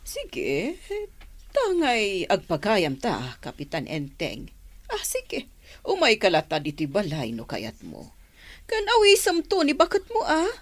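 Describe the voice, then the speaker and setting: A woman's voice: mid-range voice, wildly swooping pitch, a little slow. A woman playing a coy, inviting village lady who coaxes her suitor in a comedy drama. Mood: coy, coaxing.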